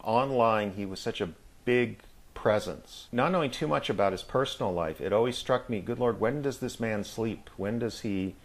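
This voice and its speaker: Low-pitched American man reminiscing. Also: normal speed. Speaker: guest, audio drama actor. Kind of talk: casual reminiscing interview.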